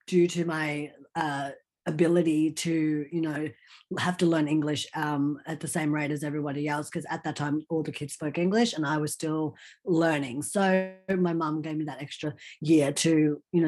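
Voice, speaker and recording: A young man, a podcast guest chatting over a video call and telling his life story, with lots of ums and restarts. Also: a little high. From Australia. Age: 20s. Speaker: podcast guest, content creator. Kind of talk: casual storytelling interview.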